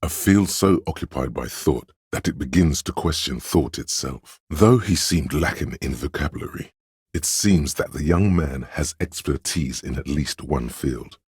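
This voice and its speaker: An extremely low, gravel-edged male voice plays the learned inner encyclopedia of a video game character's mind. He lectures in a measured, pedantic manner, matter-of-fact with dry asides. The sound is very husky and close, with no room around it. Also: a little mellow, dry recording, full character voice. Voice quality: very deep, husky. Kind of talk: scripted video game narration of trivia.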